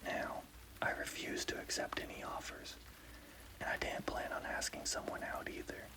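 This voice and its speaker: A man narrating a scary story as whispered ASMR, in a hushed, deliberate, breathy voice with a gravelly creak. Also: low-quality recording.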